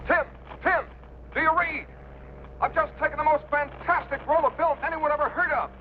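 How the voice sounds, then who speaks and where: An actor playing a panicked pilot calling in by radio with frantic exclamations in a 1950s drama, on a muffled vintage recording. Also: male voice, extremely high pitch, steady tone, normal speed, a little hiss or hum. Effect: radio transmission. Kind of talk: 1950s television drama acting.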